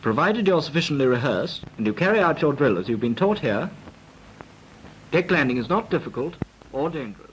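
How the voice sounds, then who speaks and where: An actor playing a formal, precise British naval flying instructor lecturing trainees in a 1940s instructional film. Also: male voice, a little high, very animated, brisk. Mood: formal, instructive. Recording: noisy, crackly 1940s film soundtrack.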